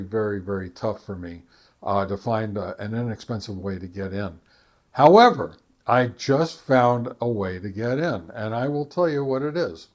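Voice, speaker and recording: An American man presenting a hobby video in a casual, unscripted way, speaking haltingly with many filler pauses. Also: fairly low pitch, a little mellow. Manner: halting, hesitant delivery with frequent long filler pauses. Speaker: ham radio video creator and presenter. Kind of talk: casual unscripted product talk.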